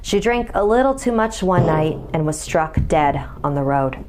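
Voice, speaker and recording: A woman's voice: low voice, animated, moderate pace, noisy background. A woman reading a scripted horror story as its narrator, with music behind her.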